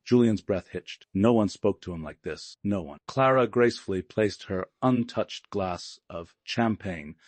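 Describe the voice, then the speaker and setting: Low-voiced man narrating dramatic fiction. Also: unhurried, hardly any pauses, a little mellow, no room sound. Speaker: audiobook narrator.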